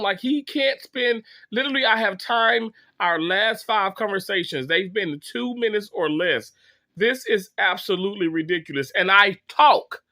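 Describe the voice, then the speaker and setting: A man's voice: high voice, creaky, pushed and bright, dry recording, studio quality. A man in his thirties, sick with a cough, telling a rambling, exasperated story to his viewers with many fillers and restarts. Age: 30s. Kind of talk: casual story time monologue.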